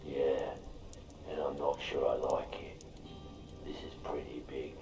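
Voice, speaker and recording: Wary underworld sidekick, extremely deep, hushed, dry, echoey, conspiratorial. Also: male voice, little pitch movement, takes it slowly, over music. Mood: wary, sardonic. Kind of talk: video game cutscene acting. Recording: echoey, distant from the mic.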